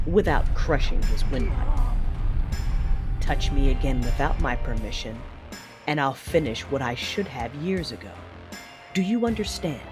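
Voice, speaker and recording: A woman playing a fierce, conflicted Sith woman who narrates a sci-fi audio drama with controlled, brooding drama. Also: a bass voice. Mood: cold anger.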